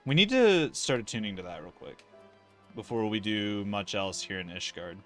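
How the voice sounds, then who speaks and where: A man's voice: fairly low pitch, lively intonation, moderate pace, soft background music. A man casually commentating a livestream of an online fantasy game, rambling and thinking aloud with casual swearing.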